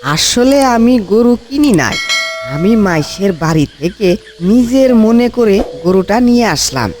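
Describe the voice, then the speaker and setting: Man voicing a high-pitched brazen cartoon cattle thief. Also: lively intonation, a little slow. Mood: brazen, sincere. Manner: argumentative, mock-earnest reasoning. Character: brazen cattle thief, smugly self-justifying and pious-sounding. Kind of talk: comic cartoon dialogue.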